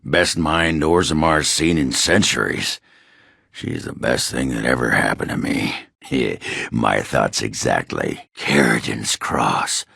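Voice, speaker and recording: An actor playing a gruff, crude dwarf warrior in a video game, with blunt, salty, grumbling speech. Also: male voice, lively intonation, slow, sharp tone, no echo, clean studio sound, heavily acted. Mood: fond, wistful. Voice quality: very deep, gravelly, rough. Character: gruff, crude dwarf warrior searching for his lost love. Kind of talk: video game character dialogue.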